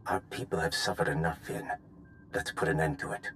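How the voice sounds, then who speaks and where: An actor playing a calculating, unrepentant rebel schemer, measured and self-justifying, in a video game cutscene. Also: male voice, extremely low pitch, steady tone, fairly bright tone, soft background music. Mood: defensive, determined.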